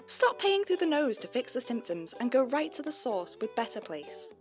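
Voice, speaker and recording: A woman's voice: a little high, big pitch swings, moderate pace. A woman playing a persuasive, chirpy announcer in a spoof commercial from an audio drama. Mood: salesy. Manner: salesy, persuasive. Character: chirpy female ghost pest-control advert announcer. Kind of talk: parody commercial read. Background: plucked ukulele-like music.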